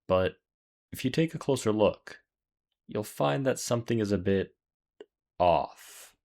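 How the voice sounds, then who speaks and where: A man's voice: on the low side, animated, measured pace, vocal fry, darkish tone. A man reading scripted narration as the host of a video essay. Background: music.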